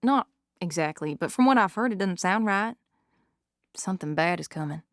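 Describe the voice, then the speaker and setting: An actress playing a worried, uneasy woman confiding in a friend in an audio drama, with a troubled, expressive delivery. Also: lowish voice, normal speed, no room sound, studio quality. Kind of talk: dramatic dialogue in fiction podcast.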